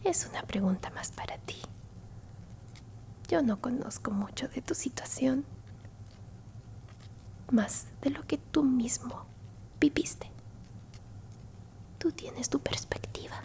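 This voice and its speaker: A woman's voice: high-pitched, animated, mellow, full character voice. A woman playing a kindly old alien grandmother in a whispered ASMR roleplay, tenderly and unhurriedly comforting a lost human. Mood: soothing, wise. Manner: tender, unhurried, reassuring, near-constant whisper.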